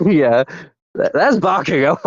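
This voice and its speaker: A man's voice: a little high, animated, slow pace, dry recording. A young man in casual chat as podcast co-host, rambling with restarts and laughter. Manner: rambling, laughing, self-interrupting. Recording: dull lo-fi mic.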